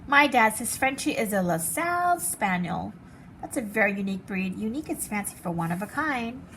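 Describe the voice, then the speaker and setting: A woman reading as an excitable, fancy little girl from a storybook, dramatic and showy with her favorite words. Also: medium pitch, normal speed, a little hiss or hum. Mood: eager.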